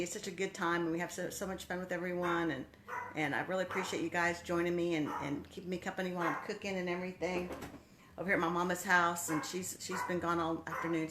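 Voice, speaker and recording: A woman's voice: lowish voice, background noise. Chatty Texan woman cooking live. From Texas. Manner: chatty, bubbly, talks to viewers by name. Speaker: cooking livestream host. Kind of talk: casual live cooking chat with viewers. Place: home kitchen.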